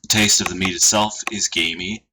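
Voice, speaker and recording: A man streaming, chatting casually and reading posts aloud in a dry, monotone way. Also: deep voice, brisk. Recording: noisy home stream recording.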